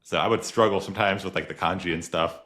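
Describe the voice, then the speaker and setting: A man's voice: low-pitched, fairly even intonation, fast, fairly bright tone. A man hosting a podcast interview, talking in an easygoing, chatty way with lots of fillers and frequent laughs. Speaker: podcast host. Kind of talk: casual conversational interview.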